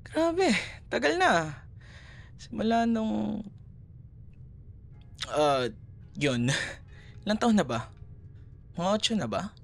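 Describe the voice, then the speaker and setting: A man's voice: high voice, sing-song, drawn-out words, creaky, long pauses, exaggerated voice. A Filipino man playing a chatty, teasing café worker in a casual, naturalistic boyfriend roleplay audio. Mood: playful, teasing. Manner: chatty, playful, casual conversational.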